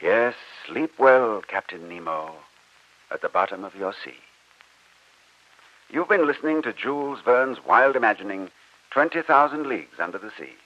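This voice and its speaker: A man hosting an old radio drama program, announcing from a script in a polished, formal, measured manner. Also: fairly low pitch, animated, sharp tone. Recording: dull old radio broadcast recording.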